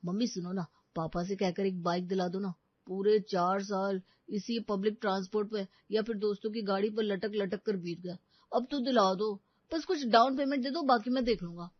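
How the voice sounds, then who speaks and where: A woman's voice: mid-range voice, fairly even intonation, normal speed, no room sound, muffled recording, lightly acted. Woman acting an eager, wheedling young son. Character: eager, pleading college-age son.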